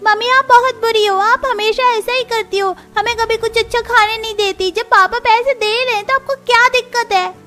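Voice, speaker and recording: A woman's voice: very high-pitched, steady tone, moderate pace, few pauses, bright, forceful tone. A woman voicing a whiny, insistent young child in a cartoon story. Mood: sulky. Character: whining, pleading young child begging for treats. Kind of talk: Hindi cartoon story acting.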